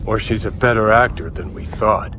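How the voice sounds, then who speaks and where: A man's voice: fairly low pitch, normal speed, noisy background, lightly acted. A man playing a cynical, sardonic rebel companion in a video game cutscene, impatient and world-weary. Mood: sardonic, pushy.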